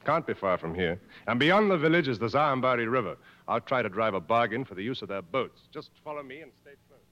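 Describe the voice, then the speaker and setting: A man's voice: mid-range voice, lively intonation, quick pace, a bit bright, lightly acted. Man acting a world-weary jungle guide, widely swinging delivery. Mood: matter-of-fact. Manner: knowing, didactic, dryly fatalistic. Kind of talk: mid-century American radio drama acting. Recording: dull vintage radio recording, faint noise.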